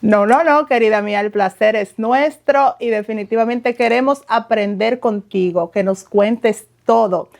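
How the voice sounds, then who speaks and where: A woman's voice: a little high, measured pace, gravelly, few pauses. A woman hosting a podcast, interviewing her guest with an encouraging manner. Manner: encouraging, asks questions warmly. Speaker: podcast host.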